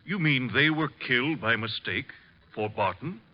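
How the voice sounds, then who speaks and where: An actor playing a concerned, earnest, well-spoken gentleman in an old radio drama. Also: mid-range voice, expressive, moderate pace, close to an everyday voice. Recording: dull vintage radio recording.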